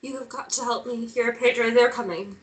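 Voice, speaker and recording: A woman's voice: on the high side, steady tone, a little fast, close to an everyday voice. A woman playing a frightened, remorseful and fiercely protective young woman on the run, urgent and emotionally raw, in a screenplay table read. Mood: urgent pleading.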